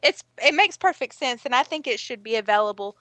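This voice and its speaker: Bubbly female podcast co-host, bright and a little high, dry close recording. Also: a little fast, no echo. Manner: giggly, excitable. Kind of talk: casual tabletop game podcast chat.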